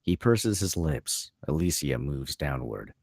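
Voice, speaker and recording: A man's voice: extremely low pitch, big pitch swings, unhurried, gravelly, darkish tone, dry recording, studio quality. A man narrating stage directions as scripted narration at a table read.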